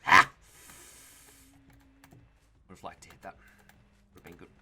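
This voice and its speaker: A young Australian man giving casual commentary over his own gameplay, talking to himself and the players and swearing now and then. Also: measured pace. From Tasmania, Australia. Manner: relaxed, talks to himself and the players, mild swearing. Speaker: gaming YouTuber.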